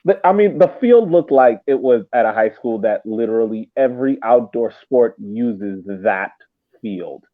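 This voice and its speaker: A man's voice: medium pitch, expressive, measured pace. A man chatting casually about sports as a podcast co-host, heard through thin, phone-like sound.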